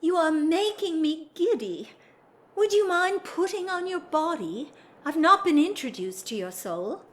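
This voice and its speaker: A woman acting a proper, hesitant aristocratic lady in an audio drama, genteel, formal and gently reproving. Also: extremely high pitch, sing-song, measured pace, heavily acted. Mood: flustered, firm.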